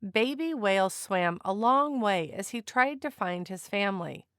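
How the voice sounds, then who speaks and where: A woman narrating a children's picture book aloud in an expressive, deliberate storytelling manner. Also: medium pitch, clear, resonant tone, no echo, studio quality.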